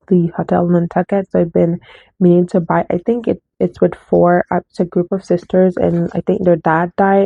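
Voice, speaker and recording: A woman's voice: lowish voice, vocal fry, dry recording. Woman rambling about books, kinda nasal w a cold, tinny dry phone audio. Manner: rambling, often correcting herself. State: coming down with a cold, slightly nasal. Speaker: book vlogger. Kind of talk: casual book haul chat.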